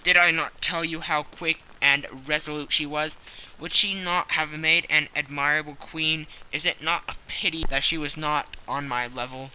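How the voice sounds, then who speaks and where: A man voicing a proud, excitable king who talks in loud exclamations. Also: on the high side, moderate pace, a little hiss or hum, poor audio. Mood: excited. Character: proud, excitable middle-aged male king.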